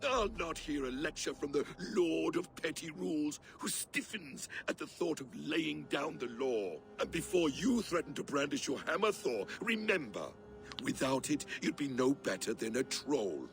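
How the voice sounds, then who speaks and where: A man's voice: a little high, expressive, moderate pace, vocal fry, faint music behind. An actor playing a sly, mocking male trickster god in a video game, sneering insults.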